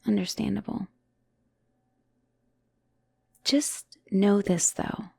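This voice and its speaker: A young woman acts out a lovestruck, obsessive girlfriend in an intimate audio drama. She speaks at an unhurried pace, clingy and teasing, with breathy sighs and drops into softness. The sound is close and dry. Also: medium pitch, dry recording, full character voice. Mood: tender, wistful. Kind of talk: intimate one-sided audio roleplay drama.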